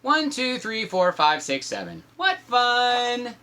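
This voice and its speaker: Animated, high-voiced man reading a storybook. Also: slow pace. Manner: acts out shouts and sound effects, teasing. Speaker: father reading a picture book aloud to his daughter.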